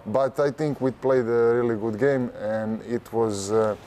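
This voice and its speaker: A young man from Croatia, a Croatian-speaking basketball player, answering in an interview in a large indoor arena on a lapel mic, halting and repeating words as he searches for phrasing. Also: mid-range voice, fairly even intonation, warm tone, a little hiss or hum. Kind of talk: casual interview.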